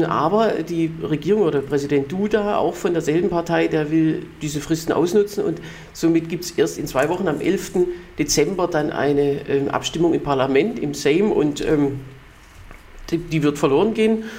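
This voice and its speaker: A man's voice: a little high, normal speed, darkish tone. Male podcast co-host, talky explainer, lots of ums and restarts. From Germany. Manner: explains at length with many fillers and restarts. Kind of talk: casual political chat.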